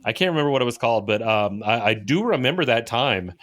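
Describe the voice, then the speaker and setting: A man with a medium-pitched voice speaks as a podcast co-host. His delivery is conversational and informal, like one gamer explaining things to another. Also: animated, quick pace, fairly bright tone. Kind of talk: casual podcast chat about video games.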